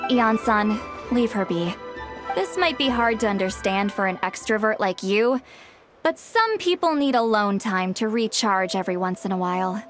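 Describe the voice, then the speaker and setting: A woman playing a composed, thoughtful young female shaman in a video game, speaking in a measured, matter-of-fact way. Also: mid-range voice, lively intonation, natural voice. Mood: gently chiding. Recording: music behind the voice.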